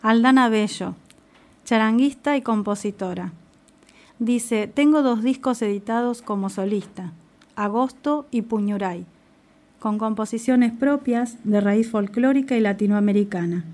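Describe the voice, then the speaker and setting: A woman's voice: mid-range voice, a little mellow. Woman hosting community radio. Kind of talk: radio interview and read introduction.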